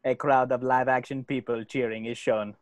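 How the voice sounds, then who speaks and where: A man reads out cartoon stage directions as a scripted narrator. His pitch is average and the sound is dry, with little room around it. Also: flat delivery, moderate pace, dry recording.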